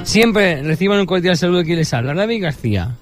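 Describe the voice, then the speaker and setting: A man from Catalonia with an Andalusian accent, opening his radio show in an earnest, measured way. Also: sits high, lively intonation, over loud music. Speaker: radio host.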